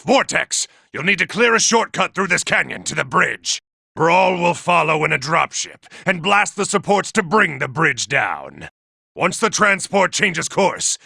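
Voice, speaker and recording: Robot military commander, video game villainy, very harsh and piercing, raspy, impatient, authoritative, dry close sound. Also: male voice, fairly high pitch, no room sound, exaggerated voice. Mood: commanding. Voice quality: gravelly, raspy. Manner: forceful, barking orders. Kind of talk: video game character acting.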